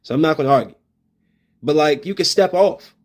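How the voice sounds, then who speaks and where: A man's voice: fairly high pitch, fairly quick. A man hosting a solo opinion podcast, talking in loose slang and acting out street confrontations in a raised voice. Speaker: podcast host.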